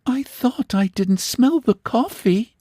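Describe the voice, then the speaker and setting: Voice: medium pitch, lively intonation, takes it slowly, mellow, no echo, studio quality, voice pushed up. A reader voicing a petted, helpless young woman who speaks plaintively in rural dialect. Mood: innocent, aggrieved.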